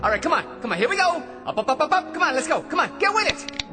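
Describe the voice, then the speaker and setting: A man's voice: extremely high pitch, sing-song, quick pace, music in the background. An actor playing a hapless ordinary man in a radio drama, cocky or frantic, stammering and chattering nervously. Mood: flustered, nervous.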